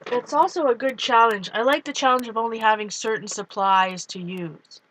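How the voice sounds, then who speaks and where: A woman with a light Canadian accent, shaded by time spent in Minnesota, chats loosely to her viewers. Her voice is bright and forward, and she wanders from one thought to the next while showing her journals from home. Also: a little high, normal speed, slight background noise, rough recording. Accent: Canadian with a Minnesota tinge. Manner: rambling, chatty, talks to viewers. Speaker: art journal vlogger. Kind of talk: casual chat while flipping through art journals. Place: home, on a couch.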